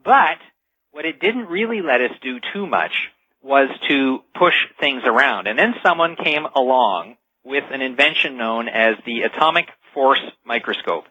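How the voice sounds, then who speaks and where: A man's voice: medium pitch, expressive, normal speed, sharp tone, husky, dry recording. A man speaking as an expert radio guest, explaining technology informally in dull, lo-fi interview audio.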